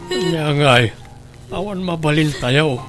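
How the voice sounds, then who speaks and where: A man's voice: on the high side, lively intonation, slow pace. Radio drama part: male actor as a consoling rural uncle, resigned and grave, music underneath. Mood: somber, resigned. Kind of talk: Ilocano radio drama acting. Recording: music behind.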